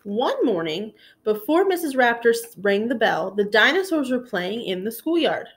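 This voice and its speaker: A woman in her thirties narrating a children's picture book aloud in an expressive style, indoors at home. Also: a little high, normal speed. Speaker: storybook reader for children. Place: small room at home, indoors.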